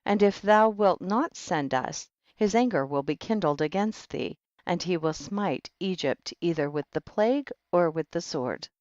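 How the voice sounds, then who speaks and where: A man's voice: high-pitched, expressive, a little mellow, dry recording. Solemn man reading scripture, dull dry recording. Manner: solemn, measured reading with archaic diction. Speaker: audiobook reader.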